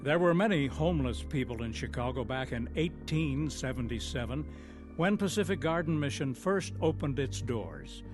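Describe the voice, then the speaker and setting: A man's voice: mid-range voice, lively intonation, normal speed. An American man giving scripted, formal narration as the announcer of a radio drama. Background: music.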